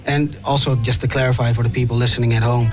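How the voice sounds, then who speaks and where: A man's voice: medium pitch, little pitch movement, fast, rough recording. A man hosting a radio interview, talking conversationally and explaining things to the listeners at home. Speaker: radio host. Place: radio studio. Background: faint background music.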